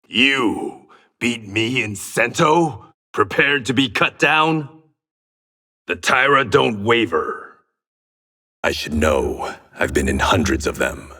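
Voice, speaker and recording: A blind swordsman from a fighting game, low husky voice, proud and defiant, really swings up and down. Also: very animated, takes it slowly, long pauses, full character voice. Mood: stern, defiant. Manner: terse, defiant, forceful. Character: blind swordsman warrior, adult man, proud and resolute. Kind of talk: video game combat voice lines.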